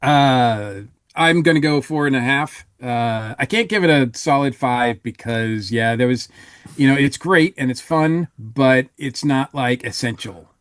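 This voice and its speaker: A man's voice: mid-range voice, measured pace, dry recording, studio quality. A man co-hosting a podcast, giving a casual review in a hesitant, rambling way.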